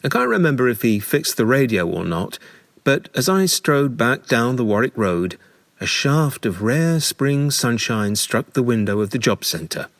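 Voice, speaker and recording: A man narrating a scripted memoir in a measured, dramatic storytelling manner with deliberate pauses. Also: mid-range voice, sing-song, no echo.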